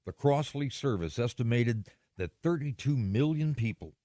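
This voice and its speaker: An actor playing a solemn, foreboding storyteller in an old-time radio drama, with grave, theatrical, measured phrasing. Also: male voice, medium pitch, expressive, dark tone, no echo, studio quality, natural voice. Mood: measured, ominous. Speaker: dramatic narrator.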